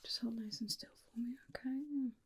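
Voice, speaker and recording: A woman voicing a tender, protective, faintly menacing vampire companion in a soft, soothing ASMR roleplay whisper. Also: on the high side, fairly even intonation, slightly rough. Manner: slow, soothing, coaxing with endearments. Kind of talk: ASMR roleplay, personal attention.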